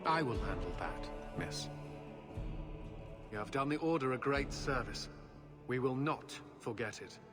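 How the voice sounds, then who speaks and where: A man's voice: mid-range voice, lively intonation, lightly acted. Male actor as a stern, formal knight commander. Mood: formal, conceding. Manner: formal, grave, measured. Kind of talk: cinematic fantasy game acting. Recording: very loud music behind.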